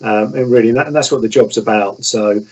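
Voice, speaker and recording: A man with a husky, slightly rough voice at a middling pitch. He explains things informally, as an expert guest in conversation. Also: fast talker, a little mellow, poor audio. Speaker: airline pilot, interview guest.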